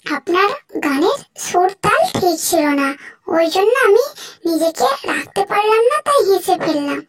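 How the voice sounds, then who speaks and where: Woman playing a very high-voiced young fairy princess. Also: animated, moderate pace. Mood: candid, apologetic. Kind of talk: Bengali fairy-tale cartoon acting.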